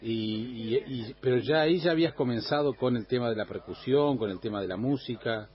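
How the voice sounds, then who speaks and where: A man's voice: medium pitch, brisk, lo-fi sound. A man, a radio interviewer asking brief questions. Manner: brief, with short affirming murmurs.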